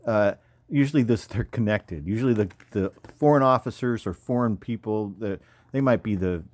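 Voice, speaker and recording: A man's voice: on the low side, normal speed, no echo. An American man in his fifties, an army veteran, talking in a casual, rambling, slangy way as he comments on photos. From California, United States. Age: 50s. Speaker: army veteran, former paratrooper and combat medic.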